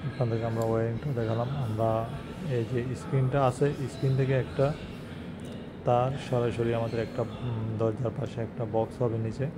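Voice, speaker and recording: A man's voice: mid-range voice, level tone, moderate pace. A man giving an unscripted how-to as an installer, mixing English technical terms into plain instructions. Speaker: installer presenting a how-to video. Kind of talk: unscripted tutorial narration. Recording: loud ambient and vehicle-like noise.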